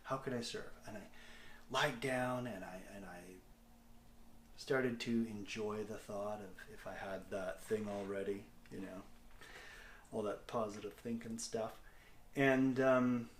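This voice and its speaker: A middle-aged man with a Canadian accent talking casually to a video diary in a candid, self-deprecating, halting way. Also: fairly low pitch, steady tone, slight background noise. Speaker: comedic actor and video diary host. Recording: echoey, roomy sound.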